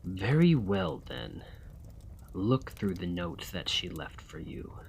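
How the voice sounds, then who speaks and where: A man playing a desperate, weary immortal gentleman vampire in an ASMR roleplay, speaking formally and courteously in a breathy, husky voice that often drops to a whisper. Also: sits low, sing-song, takes it slowly, slight background noise, full character voice. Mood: stern, threatening. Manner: formal, courtly, old-fashioned phrasing.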